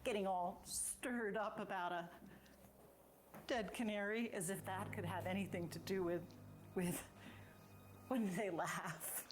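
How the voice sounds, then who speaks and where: A woman's voice: mid-range voice, fairly even intonation, unhurried, pauses often, natural voice. A woman playing an anxious, law-abiding sheriff's wife haunted by loss in a stage play. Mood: nervous, restrained. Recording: noisy amateur recording.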